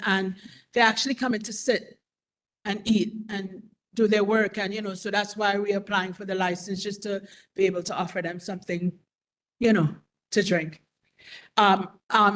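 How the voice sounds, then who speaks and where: Woman, 40s, business owner at a board meeting, talks earnest and plain, circles back on herself. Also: medium pitch. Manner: earnest, conversational, repeats herself. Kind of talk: public comment at a meeting. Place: community board meeting hall.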